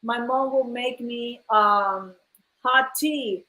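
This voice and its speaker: A woman from Ecuador tells a personal story on a live stream, earnest and direct with her viewers. Her voice sits a little high. The room around her rings with echo. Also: takes it slowly, bright, forceful tone. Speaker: host. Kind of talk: casual live-stream chat. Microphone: phone live stream.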